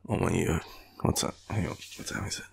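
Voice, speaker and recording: A man's voice: low voice, sing-song, brisk, creaky, slightly rough. A tired man with an Australian accent, murmuring and rambling drowsily as a clingy, teasing boyfriend in an intimate roleplay, often half-whispering. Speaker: audio roleplay performer playing a boyfriend.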